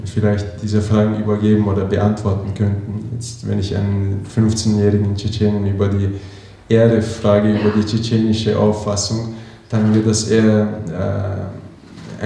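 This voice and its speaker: Gravelly low-ish guy around 30, halting moderator, big echoey hall. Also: warm tone. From Chechnya. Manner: halting, many restarts and fillers. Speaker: event organizer and moderator. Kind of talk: hesitant spoken explanation to an audience. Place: event hall. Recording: very echoey hall, faint noise.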